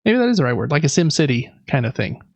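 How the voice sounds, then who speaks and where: A man's voice: fairly high pitch, very animated, fast, vocal fry, no echo, dull audio. A man hosting a podcast, chatting informally and conversationally about games, close to the microphone. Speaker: podcast host. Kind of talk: casual gaming podcast chat.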